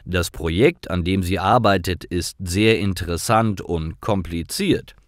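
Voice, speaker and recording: Male narrator for learner stories: deep-ish voice, dry close sound, deliberate delivery. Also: no room sound.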